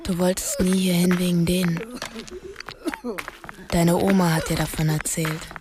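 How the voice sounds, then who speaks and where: Actress as a uneasy young woman, lowish voice. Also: flat delivery, a little slow, background noise, close to an everyday voice. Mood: brooding. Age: young adult. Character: uneasy, polite young woman on a cave tour. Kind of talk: radio drama acting.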